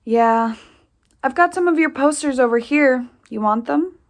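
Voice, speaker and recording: A slightly high female voice with a creaky edge and a slow pace, an actress playing a practical sister in an audio play. She is matter-of-fact and sparing with words, in naturalistic dialogue. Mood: dry, amused. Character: practical, matter-of-fact sister helping search a derelict family home.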